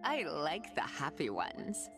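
A woman voicing a seductive, sadistic female demon from a video game, mocking and sultry, with a breathy, whispery, teasing delivery. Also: low-pitched, wildly swooping pitch, full character voice. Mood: sinister, sultry. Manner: slow, purring, teasing delivery. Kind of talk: video game character voice lines. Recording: music behind the voice.

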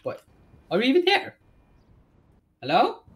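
A man's voice: very high-pitched, wildly swooping pitch, measured pace. A man chatting casually with another player in an online police roleplay game. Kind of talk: casual gaming chat.

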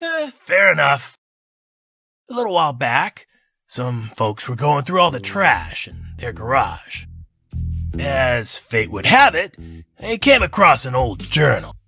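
A man's voice: medium pitch, big pitch swings, unhurried, vocal fry, pushed and bright, soft background music, full character voice. An actor playing a chatty, teasing old male store attendant in a visual novel, with folksy colloquial speech. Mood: teasing, storytelling.

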